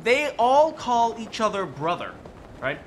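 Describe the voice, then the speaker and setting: Lively high-voiced man rambling casually. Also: a little slow, slight background noise. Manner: rambling, frequent restarts and "right?" tag questions. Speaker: streamer discussing game lore with chat. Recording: faint music behind.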